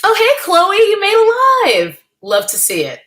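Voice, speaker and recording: A woman's voice: extremely high pitch, wildly swooping pitch, a little slow, a bit bright. A young adult woman hosting a casual livestream from home, chatting candidly with her viewers and laughing often. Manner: chatty and candid, with frequent laughs and playful asides. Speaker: livestream host. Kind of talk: casual livestream chat, reading the chat.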